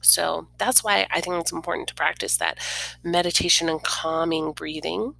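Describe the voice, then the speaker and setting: Bright-voiced woman reading to children. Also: lowish voice, fairly even intonation, moderate pace, gravelly, poor audio. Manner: informal, explaining feelings simply to young listeners. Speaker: read-aloud storyteller. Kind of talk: expressive children's picture book reading with gentle explanatory asides.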